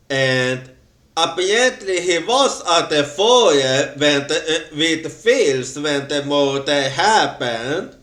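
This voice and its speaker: A man commentating a story game playthrough, speaking haltingly with many restarts. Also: a little high, fairly bright tone, echoey room. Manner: halting, with restarts and stretched words. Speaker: streamer.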